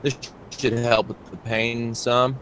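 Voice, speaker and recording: A man working as game master, narrating a tabletop roleplay casually with halting, improvised description. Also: mid-range voice, little pitch movement, fairly bright tone, a little hiss or hum, rough recording.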